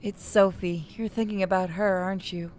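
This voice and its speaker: A woman's voice: lowish voice, steady tone, normal speed, loud music behind the voice. A woman narrating a visual novel as she plays through it. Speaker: game narrator and player.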